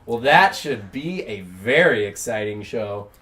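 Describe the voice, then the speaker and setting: A man's voice: fairly low pitch, expressive, measured pace, fairly bright tone. A man hosting a late-night comedy talk show, reading mock news in a dry, mock-serious way and interviewing a guest.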